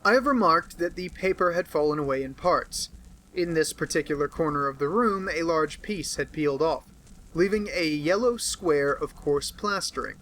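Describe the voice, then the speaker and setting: A man with an American accent narrating a classic detective novel in a steady, earnest way. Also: on the high side, normal speed, poor audio. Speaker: audiobook narrator. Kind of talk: amateur audiobook narration.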